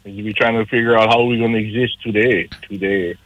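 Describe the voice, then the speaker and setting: A man's voice: lowish voice, steady tone, fast talker, dry recording. A man from Hawaii running for council, speaking as a radio guest in an earnest, persuasive way. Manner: earnest, persuasive, civic-minded. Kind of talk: casual radio conversation. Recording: slightly dull lo-fi sound.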